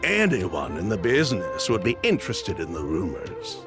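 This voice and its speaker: An actor playing a sly, chuckling male perfume merchant in a video game, smooth-talking and evasive. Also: on the low side, wildly swooping pitch, moderate pace. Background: game background music.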